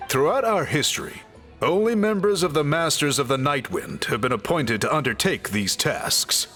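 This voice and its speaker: A towering, courteous chief in an anime game, his fairly high voice ringing in a long reverb. He is formal yet a little awkward, with a lively, varied melody. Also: moderate pace. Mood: explanatory. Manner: hesitant, formal, reassuring. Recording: long hall reverb, faint music.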